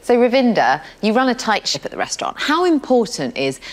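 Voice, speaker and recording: A woman's voice: fairly high pitch, very animated, a little fast. A woman television presenter interviewing a guest, asking questions in an encouraging, upbeat way. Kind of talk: interview host. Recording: light music bed behind.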